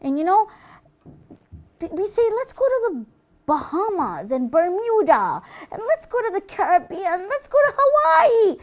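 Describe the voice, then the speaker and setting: Casting: cheeky devil played by a woman for a puppet show, high voice, very animated, boastful and full of wisecracks. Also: measured pace, full character voice. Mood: boastful, complaining. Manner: brash, wisecracking, exclamatory. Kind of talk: comic educational puppet show.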